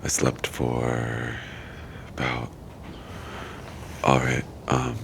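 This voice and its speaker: A hoarse man who has lost his voice, recording a halting, groggy field memo outdoors in a city. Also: rumbling low, monotone. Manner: halting, groggy, repeated restarts. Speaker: podcast host recording a field diary. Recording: handheld field recorder with loud street noise.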